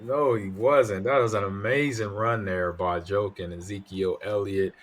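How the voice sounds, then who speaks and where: A middle-aged man giving steady, somewhat rambling analysis as color commentator on a football video game tournament. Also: lowish voice, measured pace. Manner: steady, explanatory, a bit rambling. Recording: faint background noise.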